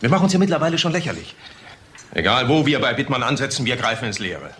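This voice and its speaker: An actor playing a brisk, frustrated police inspector in a crime radio play, curt and cynical. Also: male voice, mid-range voice, very animated, fairly bright tone, a little hiss or hum.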